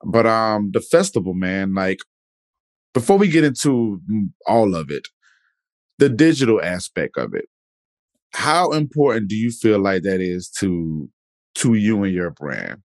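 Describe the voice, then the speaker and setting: A man's voice: on the low side, very animated, measured pace, darkish tone, no echo. A man hosting a podcast interview, talking in a laid-back, casual way and dropping plenty of "man" and "you know". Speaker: podcast host.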